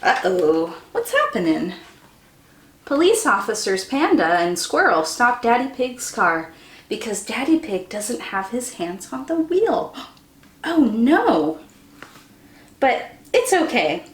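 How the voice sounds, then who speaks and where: Young woman, children's read-aloud host, high and highly expressive, unhurried, full of wonder, slightly roomy indoor sound. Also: a little hiss or hum. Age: young adult. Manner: exaggerated wonder, sing-song emphasis. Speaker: teacher reading storybooks to children. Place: small room indoors by a window.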